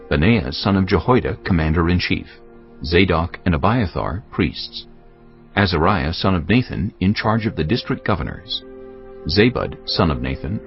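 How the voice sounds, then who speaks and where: Deep gravelly male Bible narrator. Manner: formal storytelling delivery. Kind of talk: scripted dramatised Bible narration. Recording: slightly dull, music underneath.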